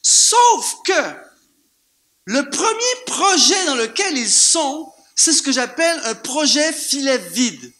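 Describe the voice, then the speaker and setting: A man with a Canadian French accent preaching an animated sermon to a church congregation, firing off rhetorical questions and repeating words for emphasis. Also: very high-pitched, slow. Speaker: guest preacher. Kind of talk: lively sermon.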